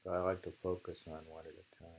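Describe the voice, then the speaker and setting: A man hosting a casual haiku discussion and reading poems aloud, in a halting, musing way that often trails off. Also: low voice, little pitch movement, gravelly, husky, highs cut off.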